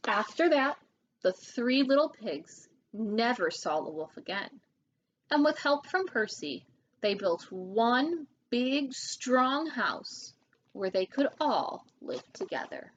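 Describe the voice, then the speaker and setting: A woman reading a children's story aloud as an expressive narrator. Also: a little high, slow, dull audio, a little hiss or hum. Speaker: storybook reader.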